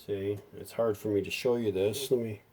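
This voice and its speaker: A man's voice: fairly low pitch, fairly even intonation, normal speed, a little mellow, slight background noise. A man narrating a hands-on repair unscripted, thinking aloud in a folksy way. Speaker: hobbyist repairer presenting a how-to video.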